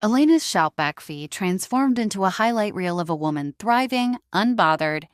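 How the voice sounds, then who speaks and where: A woman reading scripted dramatic fiction as narrator, in a measured storytelling manner. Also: mid-range voice, lively intonation, clean tone, no echo, studio quality.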